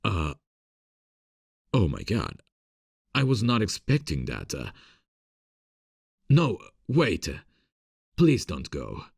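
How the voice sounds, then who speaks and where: A man playing a gentle, earnest theatre director in love, in an intimate ASMR romance roleplay, speaking in a tender, confiding, hesitant way with a husky voice. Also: sits low, huge pitch swings, slow, pauses often, no room sound, clean studio sound, full character voice. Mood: flustered.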